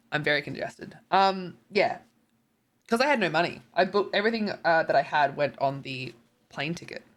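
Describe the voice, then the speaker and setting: A woman's voice: fairly low pitch, a bit bright. A tired, congested woman, chatting casually as a streamer and reading viewer messages, rambling with lots of ums and likes.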